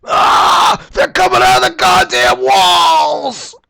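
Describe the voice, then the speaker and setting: An actor playing a panicking young male space marine in a science fiction action film, frantic and shouting. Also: extremely high pitch, huge pitch swings, slow pace, gravelly, heavily acted. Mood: panicked.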